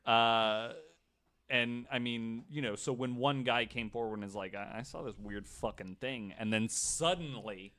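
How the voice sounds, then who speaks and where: A man's voice: mid-range voice, a little slow, sharp tone. A man hosting a podcast in casual, informal conversation, with some offhand swearing. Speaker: podcast host. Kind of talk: casual panel chat.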